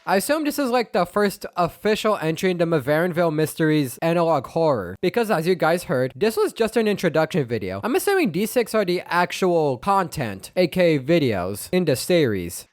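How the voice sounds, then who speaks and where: A young man hosts a reaction video in a casual, chatty style. His voice sits high and swings around in pitch, with wry, joking asides. The sound is dry and close. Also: very animated, brisk, few pauses, no room sound.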